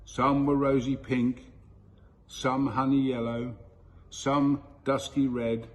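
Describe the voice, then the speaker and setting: A man reading a children's story aloud in a deliberate, expressive way with storyteller pauses. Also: medium pitch, a little hiss or hum. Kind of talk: scripted storybook narration.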